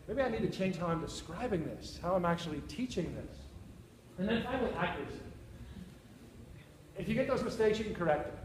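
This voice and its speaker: A man with a Northern Irish accent gives a conference presentation with a fairly high voice. He jokes and checks in with the audience. The room is echoey, with faint ambient hiss behind him. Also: darkish tone. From Northern Ireland. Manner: joking, checks in with the audience often. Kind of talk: conference talk.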